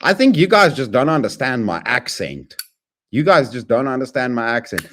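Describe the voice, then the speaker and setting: Rambling streamer man, lively pitch. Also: normal speed, slight background noise. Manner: rambling, talks to chat, swings from loud to mumbled. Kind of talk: casual live-stream chat.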